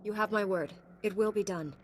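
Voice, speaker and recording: A woman playing a calm, resolute knight in a video game, speaking in a composed, earnest and formal way. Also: mid-range voice, fairly quick, a little hiss or hum, close to an everyday voice. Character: calm, resolute female Jedi knight hero. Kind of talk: video game hero dialogue.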